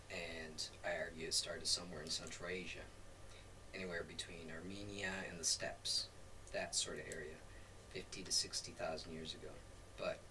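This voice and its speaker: A middle-aged man giving an informal, rambling off-the-cuff lesson as a spiritual teacher, often checking in with "right?". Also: low-pitched. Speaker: spiritual teacher demonstrating a voice practice. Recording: distant mic, clearly noisy background.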